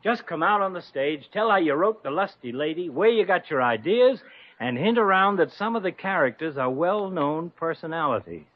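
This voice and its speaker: An actor playing a slick, shameless talent agent, glib and deadpan in 1950s radio comedy style. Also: male voice, a little high. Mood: breezy, pitching. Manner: fast-talking, glib, deadpan. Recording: muffled lo-fi 1950s radio recording.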